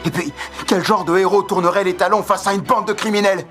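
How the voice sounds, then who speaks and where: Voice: mid-range voice, fairly even intonation, brisk, a bit bright, soft background music, lightly acted. A performer playing a combative, hot-headed teenage boy hero in a French anime dub, defiant and impassioned. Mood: fiery resolve. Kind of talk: French-dubbed anime acting.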